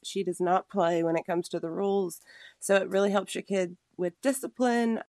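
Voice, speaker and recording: A woman co-hosting a casual podcast, chatty and quick to laugh. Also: lowish voice, no echo, studio quality. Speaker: podcast co-host.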